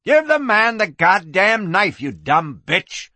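A man's voice: high-pitched, big pitch swings, slow pace, vocal fry, sharp tone, dry recording, pitched above the performer's own voice, full character voice. A man voicing a feisty, foul-mouthed female bordello madam in a western audiobook, bellowing and screeching. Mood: furious.

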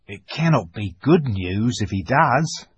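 A man's voice: mid-range voice, animated, slow pace, slightly rough, no echo, lo-fi sound, heavily acted. A man voicing a grim, gloomy dwarf servant in an audiobook, speaking tersely to an evil queen. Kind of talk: dramatic audiobook character voice.

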